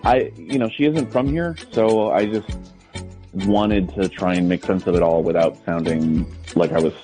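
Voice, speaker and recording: A man calling a radio show, explaining himself casually in a halting, hesitant way with repeated words. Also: low voice, warm tone, soft background music, rough recording.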